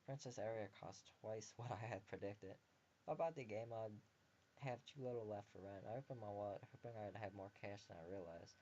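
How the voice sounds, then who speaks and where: A young man with a deep voice reads visual novel text aloud for a gaming video. He delivers it expressively and drops in casual, wry asides. The recording is a little dull and lo-fi. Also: fairly quick, mellow, a little hiss or hum. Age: young adult. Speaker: let's player reading a visual novel aloud.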